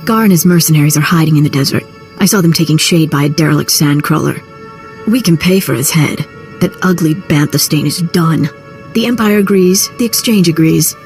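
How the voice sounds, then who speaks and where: A low-voiced, sardonic underworld fixer. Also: female voice, brisk. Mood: brisk, persuasive. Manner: sardonic, streetwise, casual. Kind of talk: video game acting. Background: loud music.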